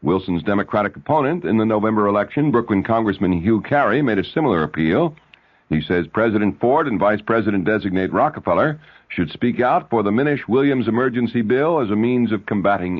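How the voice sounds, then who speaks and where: A man's voice: low voice, muffled recording. A man with an American accent reading scripted news as a radio anchor, on a 1970s broadcast recording.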